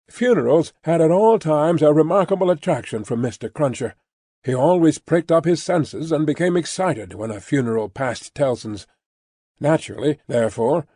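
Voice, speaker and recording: A man reading an audiobook as scripted literary narration, in a measured, formal storytelling manner. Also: a little high, dark tone, no room sound, clean studio sound.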